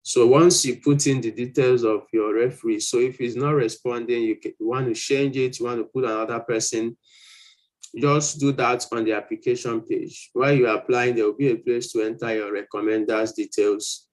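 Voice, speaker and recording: A man's voice: mid-range voice, steady tone, moderate pace, poor audio. Man explaining in a live Q&A. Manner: explanatory, repeats and rephrases points. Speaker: host answering applicants' questions. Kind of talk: informal live Q&A, reading questions aloud and advising.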